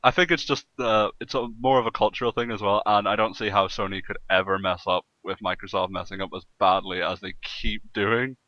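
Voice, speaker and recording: A man with a lowish but bright, forceful voice hosts a podcast in loose, joking, sweary banter. His delivery is animated, and the sound is slightly muffled and close. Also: fairly quick, hardly any pauses, no room sound. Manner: joking, sweary, laughs often. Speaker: podcast host. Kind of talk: casual gaming podcast banter.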